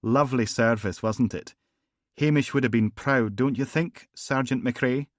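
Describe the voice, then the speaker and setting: A man voicing a smooth, smiling gangster boss with an Edinburgh accent, genteel and falsely courteous. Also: medium pitch, a little slow, no room sound, clean studio sound, pitched above the performer's own voice, natural voice. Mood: polite. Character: smooth, smiling male gangster boss, middle-aged, menacing. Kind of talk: audiobook character voice.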